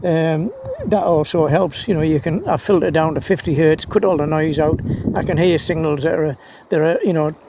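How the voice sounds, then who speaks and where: A man's voice: a little high, moderate pace, few pauses, noisy background. A man rambling casually and enthusiastically about his hobby, often repeating words as he hesitates. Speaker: amateur radio hobbyist vlogger.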